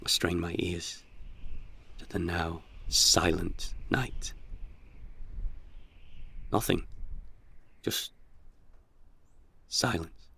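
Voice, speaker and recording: Slow, low-voiced male storyteller. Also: gravelly, pushed and bright, slight background noise. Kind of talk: dramatic scripted narration of a comic horror tale.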